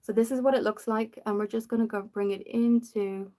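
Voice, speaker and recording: A young woman from Dublin with a softened Irish accent, rambling casually while hosting a makeup livestream and answering viewers. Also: fairly high pitch, fairly even intonation, fairly quick. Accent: Dublin Irish, softened after years in the UK. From Ireland. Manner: chatty and rambling, reads out viewer comments.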